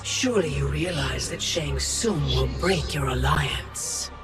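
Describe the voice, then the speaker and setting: Ancient titan queen villain, slow n imperious, cold menace, big game score behind her. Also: sits low, vocal fry, over music, exaggerated voice. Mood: cold, persuasive. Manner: slow, formal, commanding delivery. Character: imperious ancient female Titan, keeper of time, coldly menacing. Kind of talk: dramatic video game villain acting.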